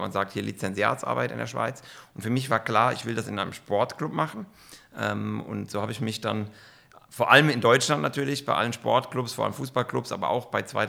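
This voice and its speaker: A man's voice: fairly low pitch, vocal fry, pushed and bright. A middle-aged man from Germany, a football club executive, answering podcast questions with enthusiasm and frequent fillers.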